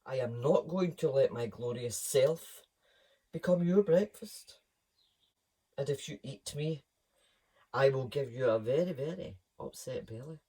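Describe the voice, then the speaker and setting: A storyteller voicing a haughty, grumpy male grey parrot who scolds indignantly, with a husky tone. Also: medium pitch, a little slow, long pauses, warm tone, recorded from a distance. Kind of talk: storybook reading.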